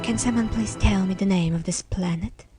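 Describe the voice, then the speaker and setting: A woman's voice: mid-range voice, normal speed, natural voice. A woman voicing an imperious, scheming male alien overlord in a fan dub, theatrical and commanding. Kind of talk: fan dub villain acting. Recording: loud ominous music over voice.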